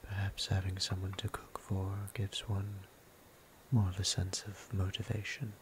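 Man acting a hushed, low-key, gentle vampire priest. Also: monotone, lightly acted. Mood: tender, courtly. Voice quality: breathy, hushed, half-whispered. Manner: formal, archaic, tender, unhurried phrasing. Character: gentle, courtly male vampire priest tending a patient. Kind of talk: ASMR roleplay, soft-spoken and whispered. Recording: clear background noise and ambience.

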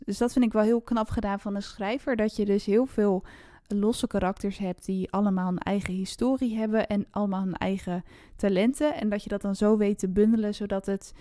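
A woman's voice: medium pitch, dark tone, no room sound, clean studio sound. A woman chatting casually about books as a podcast host, in a conversational, unscripted way. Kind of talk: casual book discussion.

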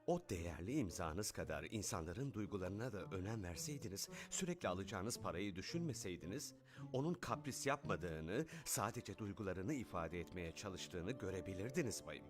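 A man's voice: deep voice, lively intonation, moderate pace, over music. A man voicing a kind, principled, dignified wealthy father in a dubbed children's cartoon. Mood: stern.

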